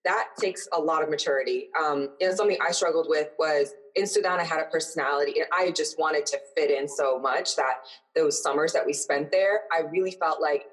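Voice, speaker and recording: A woman's voice: low-pitched, fairly quick, low-quality recording. A woman from the United States co-hosting a casual chat, sharing thoughtful personal reflections. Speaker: co-host.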